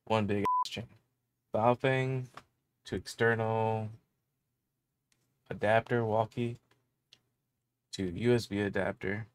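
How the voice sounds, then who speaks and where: Man, low voice, creaky fry, casual tech tutorial host, step-by-step how-to. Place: small indoor room with electronics gear.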